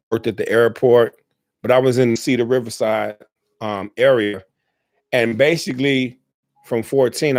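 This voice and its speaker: A man's voice: mid-range voice, no echo. Man, young adult, rambling, earnest, self-correcting, casual Q&A, dry close sound. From South Side Chicago, USA. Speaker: speaker answering questions. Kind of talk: casual spoken Q&A.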